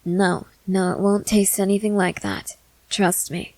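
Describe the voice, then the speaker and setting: A woman's voice: mid-range voice, fairly even intonation, unhurried, a little mellow, no echo, lightly acted. A woman playing a confident, experienced vampire who coaxes and reassures in a matter-of-fact way, in an ASMR roleplay. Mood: coaxing, savoring. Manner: matter-of-fact, coaxing, assured.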